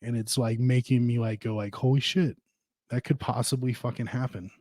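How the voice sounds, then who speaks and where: A man's voice: mid-range voice, level tone, moderate pace, darkish tone, dry recording, studio quality. A man hosting a podcast solo, rambling casually and informally with plenty of swearing. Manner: profane, informal. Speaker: podcast host.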